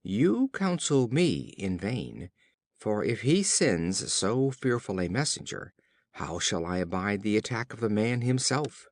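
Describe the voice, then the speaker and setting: A man reading as a wounded storybook lion, frightened and solemn. He speaks slowly in archaic phrases, with wide movement in pitch. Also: big pitch swings, no echo. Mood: fearful, grave. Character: wounded lion, fearful, rejecting brave counsel.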